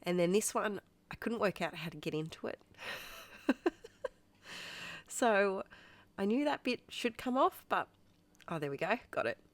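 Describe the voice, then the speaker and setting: A woman's voice: mid-range voice, lively intonation, measured pace, long pauses. A woman with an Australian accent and a stuffy, congested voice, chatting casually through a voiceover of her art process, rambling and self-deprecating with frequent laughs. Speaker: art channel host.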